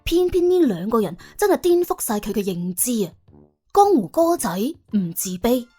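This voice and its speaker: A woman narrating an audiobook in colloquial Cantonese, telling the story in a wry, dramatic, expressive way. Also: on the high side, moderate pace, husky, faint music behind.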